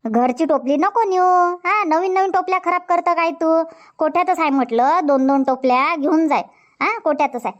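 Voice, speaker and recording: Cartoon granny, acted out: high voice, blunt and scolding, Varhadi Marathi accent, muffled lo-fi sound. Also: moderate pace, close to an everyday voice. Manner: nagging, threatening, blunt. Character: scolding, stern old village grandmother. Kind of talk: cartoon comedy dialogue. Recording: dull, muffled lo-fi audio.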